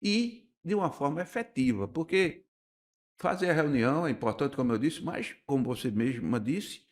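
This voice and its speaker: An older man from Recife with a Pernambuco accent, a guest architect and urban planner, explaining at length in a radio interview with frequent pauses and restarts. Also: mid-range voice, animated. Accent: Pernambuco Portuguese. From Recife, Brazil. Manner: measured, explanatory, hesitant with restarts.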